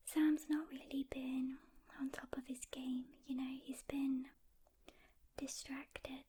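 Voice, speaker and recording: A woman playing a reluctant loner demon hunter in an ASMR roleplay, soft-spoken and whispering, with a casual, halting delivery. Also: high voice. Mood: businesslike. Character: seasoned female demon hunter, reluctant loner, guarded but caring.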